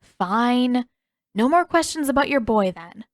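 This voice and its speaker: A curious young pupil played by a woman reader in a storybook reading, grudging yet playfully teasing. Her female voice is slightly raised in pitch and recorded dry. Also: lively intonation, a little slow, dry recording. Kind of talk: acted audiobook dialogue.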